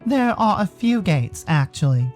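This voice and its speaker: Man voicing a slow, aloof furry swimmer. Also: sits high, very animated, soft background music. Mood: jeering, impatient. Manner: slow, clipped short replies. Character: aloof, terse male furry animal swimmer, faintly jeering. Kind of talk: visual novel character voice.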